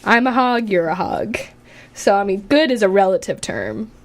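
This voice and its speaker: A woman's voice: medium pitch, big pitch swings, moderate pace. A young woman co-hosting a college radio show in a studio, chatting casually and laughing often between lines. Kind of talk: casual chat. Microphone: studio mic.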